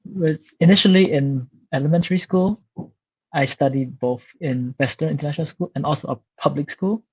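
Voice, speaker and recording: A man in his twenties from Cambodia, a Khmer speaker, answering interview questions in a steady, articulate way. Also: fairly high pitch, moderate pace, slightly rough, no echo, dull audio. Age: 20s. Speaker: guest, student.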